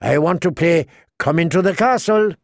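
A man's voice: fairly high pitch, moderate pace, creaky, no room sound, voice pushed up. A man voicing a witty, bitter adult dwarf lord who speaks in a dry, mocking way.

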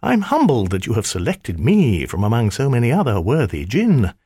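A cheeky male spirit in the guise of a flirtatious maiden, as a man puts her on in a high falsetto-ish lilt. The voice swoops and dances through a lively range, coy and wheedling, with a dry close sound. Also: moderate pace, breathy edge, no room sound. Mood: fawning. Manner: coy, wheedling, mock-sweet. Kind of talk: audiobook character voice.

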